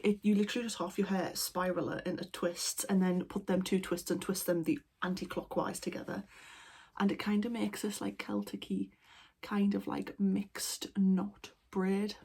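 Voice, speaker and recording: A woman chatting casually as an art vlogger at home, talking in a relaxed, rambling way into her camera. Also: medium pitch, creaky, slightly rough. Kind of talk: casual vlog chat. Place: at home indoors. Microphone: camera mic.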